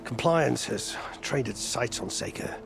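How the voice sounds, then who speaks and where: An actor playing a weary, proud older admiral of a stranded fleet in a video game, speaking in a formal, grave, wry way. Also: male voice, mid-range voice, lively intonation, a little slow, vocal fry. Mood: wary, stern. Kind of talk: cinematic video game acting. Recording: music behind the voice.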